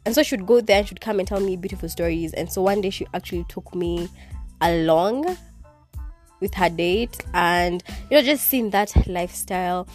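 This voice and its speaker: A young woman with a Kenyan accent, a Kikuyu speaker, talks into her phone from her bed. Her voice sits a little low. Faint music plays behind her loose, meandering talk. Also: normal speed, a little mellow. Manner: rambling, heavy use of "like", mixing in Swahili words. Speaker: podcast host. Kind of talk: casual solo podcast monologue.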